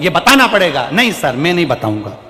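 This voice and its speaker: A man doing a loud, gleeful schoolteacher. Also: on the high side, fairly quick. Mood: insistent. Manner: loud, insistent, excited questioning. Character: boastful delighted male schoolteacher. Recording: echoey hall, faint music.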